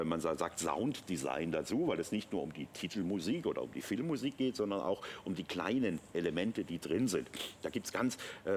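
A man's voice: deep voice, slight background noise. A middle-aged man presenting products on a shopping channel in an unscripted, conversational, explanatory way. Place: TV shopping studio set, indoors.

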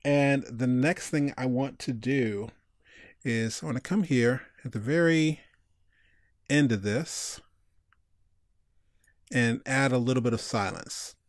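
A man's voice: mid-range voice, slow pace, long pauses, dry recording. Casual man teaching, dry close sound. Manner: informal, step-by-step, thinking aloud. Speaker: tutorial instructor. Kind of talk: casual screencast tutorial.

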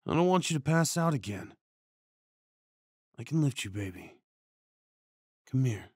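A man performing an ASMR comfort roleplay as a tender, worried boyfriend, speaking in a hushed, soothing way with sighs and shushing. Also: mid-range voice, big pitch swings, a little slow, breathy edge, dry recording, studio quality.

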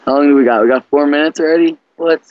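A man's voice: on the high side, fast, dry recording. A young man chats casually on an internet group call. He talks in slangy, muttered asides, with dry and slightly dull computer-mic sound. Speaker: prank caller.

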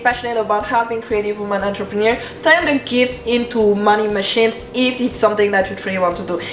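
A young woman speaks earnestly and encouragingly to camera, her voice a little high. She talks in a bedroom that sounds roomy and echoey, with the camera at medium distance. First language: French. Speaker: style coach and YouTuber. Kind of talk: motivational vlog talk to camera. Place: bedroom with clothes rack and shelves, indoors.

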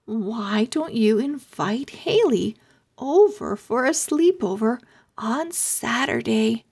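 A caring mother owl, put on by a woman reader, advises gently and reassuringly in storybook style. The voice is high and lilting, with very slow delivery. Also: expressive, breathy edge, heavily acted.

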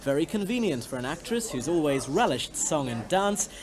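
A woman's voice: low voice, very animated, moderate pace, crowd noise. A woman with a British accent reading a scripted news report voice-over.

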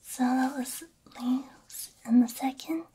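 A woman speaks in a very breathy, whispered ASMR style, close to the mic. Her voice is high and soft, with a slow, unhurried pace. She sounds gentle and reassuring, as if talking someone through a careful procedure. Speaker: ASMR roleplay creator playing a robot repair technician. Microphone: close binaural ASMR microphone.

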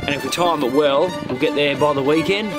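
A man's voice: a little high, fairly quick, over loud music. Laid-back Australian man explaining things. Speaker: sailing vlogger. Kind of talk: casual explanatory vlog talk. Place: aboard a small sailboat, in the cabin and on deck.

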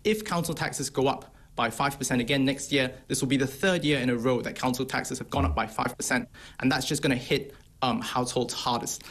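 A young man, a think-tank researcher on a video call from an office, making brisk, assertive points. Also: mid-range voice, fairly bright tone. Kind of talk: remote interview commentary. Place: office room.

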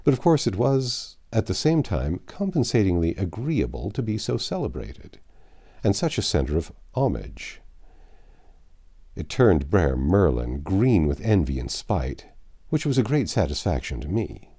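An older man reading a classic novel aloud as a narrator, in measured storytelling phrases with dramatic pauses. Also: low-pitched, big pitch swings, mellow, breathy edge. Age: 50s or 60s. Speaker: audiobook narrator. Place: small home library, indoors.

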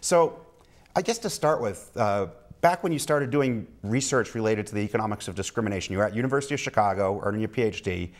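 A young man hosts a televised interview show, talking fast and briskly from his armchair. His voice is somewhat low, picked up by a lapel mic in a quiet studio. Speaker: show host. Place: TV studio set, seated in armchair.

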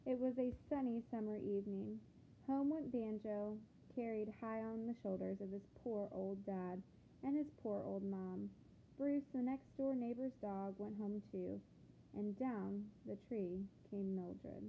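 A woman reading a picture book aloud to children like a teacher, with expressive, deliberate phrasing and questions to the listeners. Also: medium pitch, vocal fry. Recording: phone-like thin sound with background noise.

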